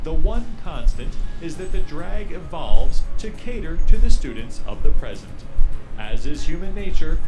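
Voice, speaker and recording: A man's voice: fairly high pitch, big pitch swings, moderate pace, low-quality recording. A man reading a scripted book excerpt as narration. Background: music.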